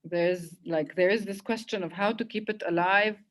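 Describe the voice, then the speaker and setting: Woman host in an online talk, mid-pitched, reflective, hesitant. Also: steady tone, normal speed. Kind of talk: casual online conversation.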